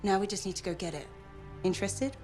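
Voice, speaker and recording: An actress playing a composed, serious and self-assured female researcher in a video game, speaking with brisk authority. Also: mid-range voice, animated, over music, natural voice. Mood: brisk, confident. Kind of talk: video game cutscene acting.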